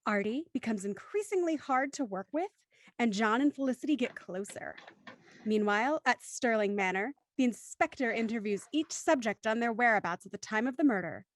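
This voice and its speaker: A woman's voice: fairly high pitch, animated, normal speed. A woman hosting an online show, chatting and introducing a cast with bubbly enthusiasm. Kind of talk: livestream hosting, chatty banter.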